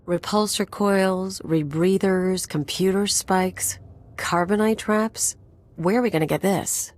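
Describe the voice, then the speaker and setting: An actress playing a streetwise, scheming prison inmate in a video game's heist crew, speaking plainly and pointedly. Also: female voice, medium pitch, slow pace, close to an everyday voice. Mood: doubtful. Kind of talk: video game voice acting.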